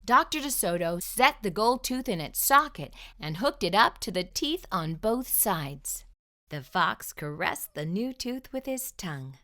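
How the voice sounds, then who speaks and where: A woman reading a children's storybook aloud expressively, with clear enunciation. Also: medium pitch, sing-song, measured pace, bright, forceful tone.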